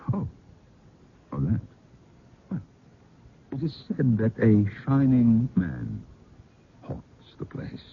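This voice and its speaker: An actor playing a haunted older man, telling ghost tales in a halting, dramatic old-time radio style with muttered pauses. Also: medium pitch, lively intonation, gravelly, slightly rough, exaggerated voice. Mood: haunted, insistent. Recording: muffled phone-like radio recording, faint noise.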